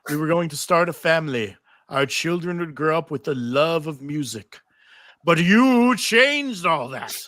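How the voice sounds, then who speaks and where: Man acting a slow, bitter, grieving musician. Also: fairly high pitch, expressive, bright, forceful tone, dry recording, studio quality. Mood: wistful grief. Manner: slow, accusing delivery. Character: bitter grieving male musician, blaming a warrior. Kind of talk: live table read of TV script.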